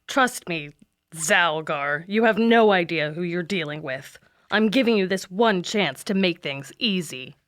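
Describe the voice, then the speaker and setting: Woman as a bright-voiced, tough teenage monster hunter. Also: lowish voice, expressive, a little slow. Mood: cold resolve. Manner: confident, no-nonsense. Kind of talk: audio drama acting.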